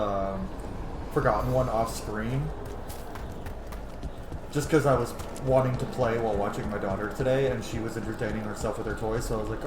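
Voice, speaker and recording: A man's voice: mid-range voice, noisy background. Casual male gamer, echoey room. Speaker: game streamer. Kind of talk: casual playthrough commentary. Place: small room at home, indoors. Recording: echoey room, game music behind.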